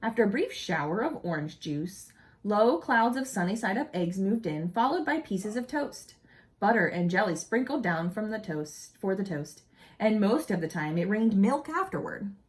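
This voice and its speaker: A woman reads a picture book aloud for young children. Her intonation swings widely around a medium pitch, and she phrases the story deliberately, as a storyteller pointing things out to small listeners. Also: sing-song. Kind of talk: expressive read-aloud storytelling with asides.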